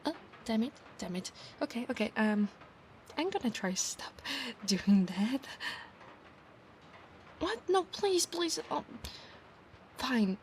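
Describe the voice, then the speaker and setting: A woman with an Italian accent voicing a proud, zealous centaur knight in a soft, intimate ASMR roleplay, speaking formally and earnestly. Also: on the high side, big pitch swings, slow, long pauses, a little hiss or hum. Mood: flustered, pleading. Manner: formal, earnest, grandiose talk. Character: proud, zealous female centaur knight, obsessive and battle-hungry.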